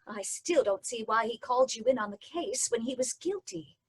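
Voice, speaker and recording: A woman playing a sharp-witted female companion in a radio drama, wry and questioning. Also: on the high side, sing-song, moderate pace, slightly rough, heavily acted. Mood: curious. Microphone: home mic over video call.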